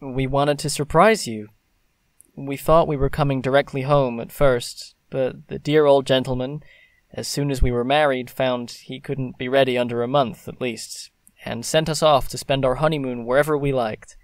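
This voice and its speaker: An actor playing a playful, proud young newlywed gentleman in an audio drama, with wry formality. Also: mid-range voice, steady tone, normal speed, no echo, close to an everyday voice. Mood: fond, happy.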